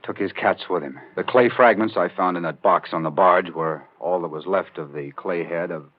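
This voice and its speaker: A haunted American newspaper reporter in an old radio play. His voice sits low, weary and troubled, on a dull vintage recording. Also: normal speed. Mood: subdued, grim. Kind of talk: 1940s radio drama acting.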